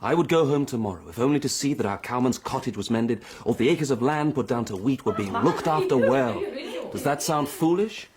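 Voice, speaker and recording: An actor playing an educated, modest country gentleman in a period radio drama, earnest and genteel. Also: medium pitch, animated, brisk, lightly acted. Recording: chattering party crowd behind.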